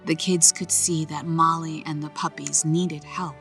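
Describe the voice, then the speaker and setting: Female narrator for a kids' comic read-aloud: slightly low voice, gentle and expressive, faint music underneath. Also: a little slow. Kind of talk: scripted children's story narration.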